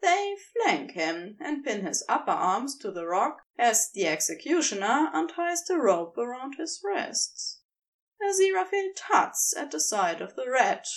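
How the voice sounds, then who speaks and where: A woman reading a scripted story aloud as narrator, with expressive, measured storytelling. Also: fairly high pitch, distant mic. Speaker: podfic reader.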